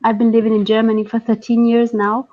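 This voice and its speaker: A woman's voice: fairly high pitch, monotone. Hesitant woman caller, dull lo-fi audio. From Afghanistan. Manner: hesitant, pausing between phrases. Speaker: caller, student and mother. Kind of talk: hesitant conversational call. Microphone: audio-only internet call.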